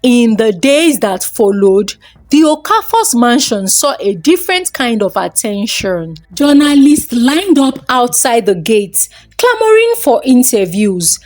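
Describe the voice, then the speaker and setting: A woman's voice: a little high, big pitch swings, unhurried, a little mellow, faint music behind. A woman storyteller giving a dramatic scripted narration of a folktale.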